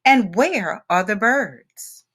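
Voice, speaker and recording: A woman reading a children's picture book aloud in a deliberate, expressive storytelling manner with dramatic pauses. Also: mid-range voice, breathy edge.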